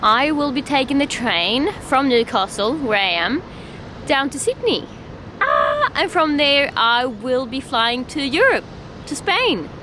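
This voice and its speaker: A young woman from Sweden with a Swedish accent, chatting excitedly in a casual travel vlog and laughing often. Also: high-pitched, measured pace, a little hiss or hum, low-quality recording. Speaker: vlogger.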